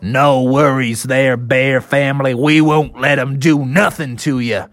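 A man's voice: medium pitch, fairly even intonation, measured pace, a bit bright, no echo, clean studio sound, natural voice. A man voicing a bold, friendly cowboy triceratops with folksy, ungrammatical talk, for a children's story. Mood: steady, protective.

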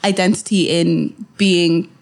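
A woman's voice: fairly low pitch, steady tone, measured pace, vocal fry. A young woman from Kenya, talking through her past as a podcast guest, reflective and full of fillers and restarts. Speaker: guest filmmaker. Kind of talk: casual interview chat.

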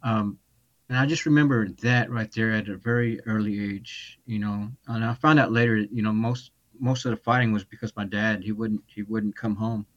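A man from Texas telling his life story as a podcast guest over a video call, speaking haltingly with many restarts. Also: fairly low pitch, steady tone, fairly bright tone, muffled recording. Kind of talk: personal testimony.

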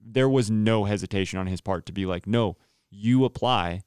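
A man with a naturally low, smooth voice talks through a story in a relaxed interview. He tells it with energy and plays out each line of dialogue. The sound is close and dry. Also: normal speed, no echo. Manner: animated storyteller, acts out quoted dialogue, laughs often. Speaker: worship pastor and podcast host, interviewed as guest.

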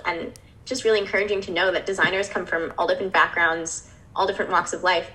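A woman's voice: lowish voice, pushed and bright, low-quality recording. A young woman from the United States co-hosting a discussion, upbeat and reflective, with fillers. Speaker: co-host discussing a designer interview. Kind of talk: casual conversational discussion.

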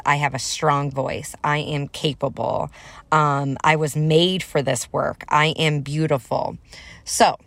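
Low-voiced encouraging woman podcast host. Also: fairly bright tone, no echo. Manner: encouraging, conversational, talks straight to listeners. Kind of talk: motivational solo podcast.

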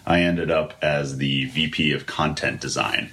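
A man with a deep, sonorous voice, a guest explaining his work in a measured, deliberate way. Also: fairly even intonation, sharp tone. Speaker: podcast guest. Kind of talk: conversational interview.

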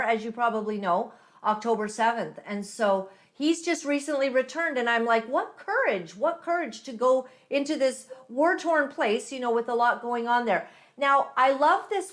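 A woman hosting an interview, talking in a chatty, enthusiastic way and laughing often. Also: a little high. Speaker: podcast host. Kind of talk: interview chat.

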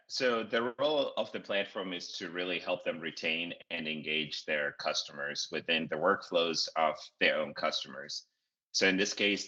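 CEO guest, very deep and bright, measured with many pauses, on a dull lo-fi recording. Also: male voice. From Zimbabwe. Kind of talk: interview answers. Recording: dull lo-fi audio.

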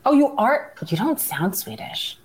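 A woman's voice: high-pitched, very animated, a little slow, breathy edge, rough recording. A woman hosting a podcast, playful and teasing in casual conversation. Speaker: podcast host.

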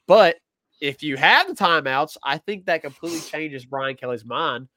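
A man with a slightly high voice, nasal and congested from a cold, chatting loosely about football. He rambles, restarts his sentences and thinks out loud. The sound is close and dry. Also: expressive, moderate pace, dry recording. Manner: rambling, self-correcting, thinking aloud. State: stuffy from a cold. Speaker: podcast co-host. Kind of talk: casual sports talk.

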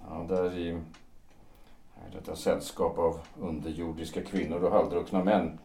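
Man acting a halting, low-voiced wounded former lover. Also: flat delivery, gravelly, warm tone, distant mic, a little hiss or hum. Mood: hesitant, disapproving. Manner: halting, repeats words, brooding. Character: wounded, jealous fifty-year-old former lover, male. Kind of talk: period stage-play audio drama acting. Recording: roomy echo, away from mic.

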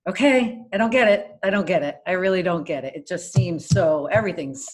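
A woman's voice: on the low side, fairly quick, husky. A woman taking part in a discussion, speaking informally and candidly about her own thoughts. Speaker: discussion participant. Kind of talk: casual chat.

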